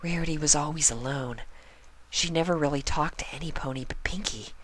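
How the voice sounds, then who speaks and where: A man voicing a shy, gentle young female pegasus pony in a soft, hushed, breathy voice for a cartoon fan story. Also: fairly high pitch, fairly quick, a little hiss or hum, natural voice, poor audio. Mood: soft, reflective.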